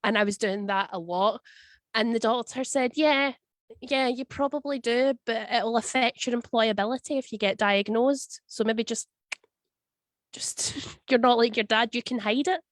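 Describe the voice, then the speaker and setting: Scottish woman, husky voice from a cold, wry, chatty storyteller, dry close sound. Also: a little high, moderate pace, no echo. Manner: chatty, wry, tells stories with quoted voices. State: husky voice from a cold, sniffling and coughing. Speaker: guest. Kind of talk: casual interview answers. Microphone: video call.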